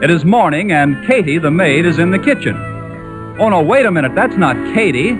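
A man's voice: a little high, animated, a little fast. A man working as a radio announcer, reading scripted introductions and narration in a 1940s broadcast style. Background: music.

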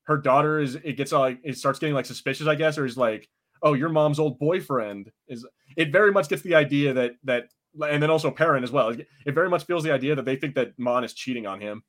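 A man co-hosts a podcast in a middling-pitched voice. He talks in a casual, informal review style and swears freely. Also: a little fast, a bit bright. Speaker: podcast co-host.

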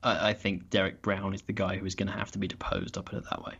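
A man's voice: deep voice, monotone, fast talker, fairly bright tone, breathy edge, muffled recording. A British man on a podcast giving his opinions, often stumbling and restarting his sentences. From Britain. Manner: opinionated, with frequent restarts and repeated words. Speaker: podcast guest, football analyst. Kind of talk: casual podcast discussion.

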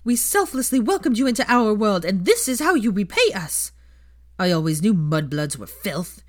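A woman's voice: medium pitch, sing-song, normal speed, voice pushed up, lightly acted. A woman voicing an angry, scornful writer of hateful letters in an audiobook story. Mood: contemptuous. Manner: venomous, scornful. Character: angry letter writers shrieking venomous insults.

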